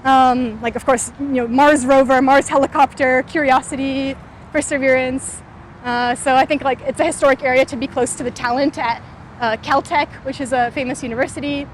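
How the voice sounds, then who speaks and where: High-voiced young woman, chatty fillers. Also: fairly even intonation, normal speed, slight background noise. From California, USA. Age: 20s. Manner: frequent "like" and "um" fillers, thoughtful. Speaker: startup founder interviewee. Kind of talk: casual interview while walking. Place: outdoors on a city street.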